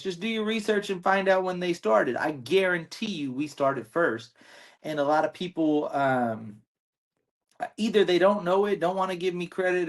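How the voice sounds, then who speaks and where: Laid-back podcast host, slangy. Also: male voice, high-pitched. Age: 30s. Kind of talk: casual podcast chat.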